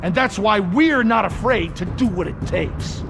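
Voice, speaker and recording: A man's voice: high voice, expressive, moderate pace, vocal fry. An actor playing a zealous, aggressive male corrupt police officer in a video game, insistent and hot-headed. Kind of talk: video game dramatic acting. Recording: music behind the voice.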